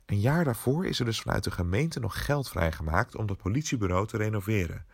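A man playing a nervous, secretive newsroom colleague who speaks haltingly and casually, as in a voice message in an audio drama. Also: fairly low pitch, animated, vocal fry, husky, no echo, studio quality, full character voice. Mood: intrigued, suspicious. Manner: hesitant, halting, stumbling over words.

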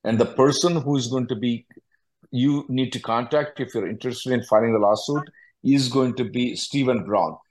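A man's voice: medium pitch, moderate pace, darkish tone, poor audio. A man working as an attorney and host, answering callers bluntly, often repeating himself and cutting in. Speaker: immigration attorney hosting a call-in. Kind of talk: question-and-answer advice call.

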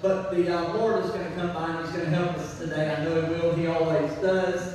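High-voiced pastor in an echoing hall. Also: male voice, flat delivery, brisk, a little mellow. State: mild laryngitis, weakened voice. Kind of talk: church sermon. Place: church, speaking to a congregation. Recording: very echoey hall, distant mic, faint noise.

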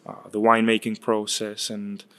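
A man in his twenties, raised in Chile, answering interview questions in a halting way, often repeating words. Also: lowish voice, completely flat delivery, creaky, fairly bright tone. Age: 20s. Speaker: guest, winemaker's son. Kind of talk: casual interview.

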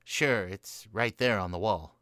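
Paranoid young heir voiced by a man, melodramatic, fretful, tense bright tone, dry close sound, gothic radio comedy. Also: lowish voice, a little slow, dry recording. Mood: fearful. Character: anxious, paranoid, doom-laden young male heir.

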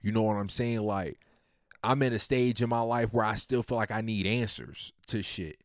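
A man's voice: lowish voice, normal speed, dry recording, studio quality. A man in his late twenties talking casually and at length about his family, in a rambling, slang-heavy, laid-back way. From Virginia. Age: 20s. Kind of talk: casual personal monologue.

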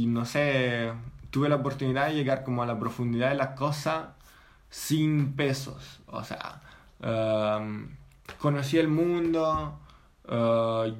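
A young Italian man with an Italian accent, chatting casually in a second language, pausing and searching for words as he tells his story. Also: mid-range voice. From Italy. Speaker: guest. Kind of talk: casual chat.

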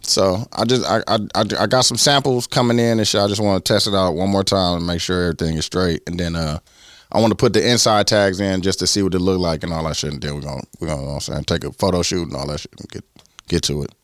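A man co-hosting a casual podcast chat, laid-back and slangy, drifting into drawn-out pauses. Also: low-pitched, steady tone, fairly bright tone, no room sound, studio quality. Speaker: podcast co-host.

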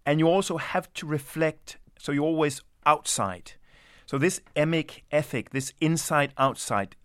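A man's voice: fairly high pitch, measured pace, dry recording, studio quality. Thoughtful, hesitant male anthropologist. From Denmark. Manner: thoughtful, hesitant, many restarts. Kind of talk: reflective interview answers.

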